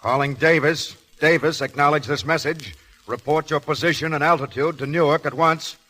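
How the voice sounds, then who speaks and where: An actor playing a brisk, commanding, worried airline superintendent in a 1930s radio drama. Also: male voice, fairly high pitch, bright, forceful tone. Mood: urgent, commanding. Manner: curt, commanding. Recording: old 1930s radio broadcast recording.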